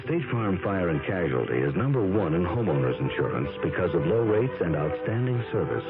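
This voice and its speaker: A man announces a scripted vintage radio ad. His low voice has lively inflection and sits over loud music on a narrow broadcast. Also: brisk. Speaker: commercial announcer.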